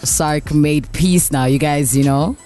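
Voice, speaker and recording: A woman's voice: deep voice, slow pace, dark tone, faint music behind. A woman co-hosting a radio interview and asking curious, direct questions. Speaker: radio co-host.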